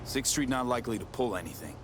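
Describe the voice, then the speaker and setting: A man's voice: medium pitch, lively intonation, quick pace, noisy background, natural voice. An actor playing a cynical male mercenary in a video game, with a dry, sardonic manner. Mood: dry, wry.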